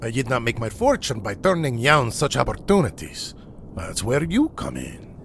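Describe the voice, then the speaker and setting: Flamboyant, swooping, rich collector. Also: male voice, medium pitch, wildly swooping pitch, moderate pace, vocal fry, a bit bright, a little hiss or hum, heavily acted. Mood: grave, boastful. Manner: florid, theatrical, loquacious. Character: flamboyant, pompous Chilean philanthropist and antiquities collector. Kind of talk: comic noir audio drama acting.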